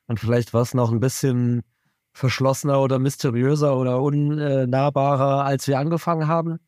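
A man, a musician guest in an interview, answering in a relaxed, matter-of-fact way. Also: medium pitch, normal speed, no room sound, studio quality. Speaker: band member, musician guest.

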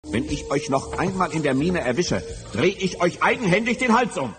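A man's voice: on the high side, very animated, moderate pace, a bit bright, faint music behind. An actor playing an angry, threatening male crook in an audio drama. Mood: angry threat. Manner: menacing.

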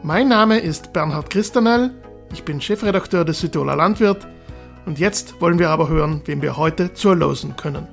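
Gravelly podcast host. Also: male voice, a little high, moderate pace, soft background music. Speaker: podcast host, editor-in-chief. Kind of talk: podcast interview.

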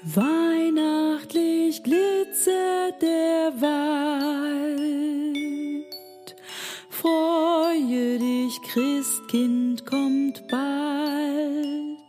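A woman singing Christmas songs slowly, like a lullaby. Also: high-pitched, steady tone, dark tone, soft background music. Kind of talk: slow a cappella style Christmas singing.

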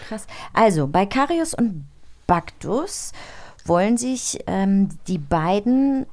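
Lively female co-host, swinging pitch. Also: takes it slowly. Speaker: podcast co-host. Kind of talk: casual chat.

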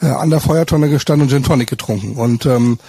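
A middle-aged man chatting casually in a halting, hesitant way, often adding short tag questions. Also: medium pitch, warm tone, slight background noise. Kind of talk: casual chat.

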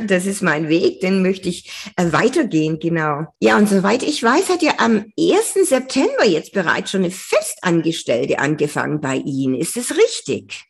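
Woman interviewer, husky voice, lively swooping pitch, friendly, frequent laughs. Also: moderate pace. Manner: friendly, many affirming fillers, laughs often.

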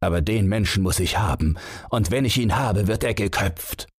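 An imperious old storybook king, acted by a male reader, deep and very husky. He blusters with indignation and scorn, commanding everyone around him, in a dry close recording. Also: normal speed, no echo. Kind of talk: fairy tale audiobook character voice.

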